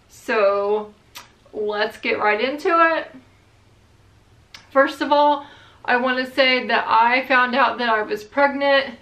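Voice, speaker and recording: A young woman vlogging in a relaxed, rambling way, her voice bright and pitched a little high. She speaks slowly, and the room around her gives a noticeable echo. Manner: chatty, relaxed, rambling with fillers. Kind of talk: casual chat to camera.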